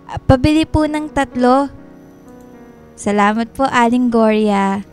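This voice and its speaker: A woman's voice: fairly high pitch, slow pace, soft background music. An actress playing a sweet, shy young woman in a Filipino radio drama, speaking respectfully and a little hesitantly. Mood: polite. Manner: respectful, soft-hearted, hesitant.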